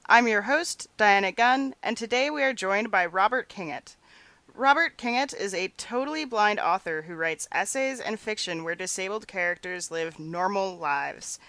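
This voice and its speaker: A woman's voice: medium pitch, lively intonation, moderate pace, sharp tone, no room sound. A woman hosting an interview podcast, introducing and questioning her guest.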